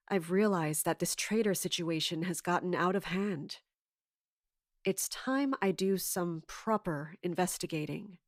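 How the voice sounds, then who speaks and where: Actress as scheming woman commander, slightly low voice, dry sound, measured authority, audio drama. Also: no echo, natural voice. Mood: brooding, resolved. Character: scheming, commanding woman in charge of palace guards. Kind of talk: audio drama acting with first-person narration.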